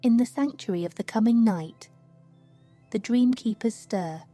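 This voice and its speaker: Woman doing a sleep meditation, mellow kinda high voice, slow and hushed, music behind her. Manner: slow, soothing, hushed delivery. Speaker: meditation narrator. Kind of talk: scripted guided sleep meditation narration.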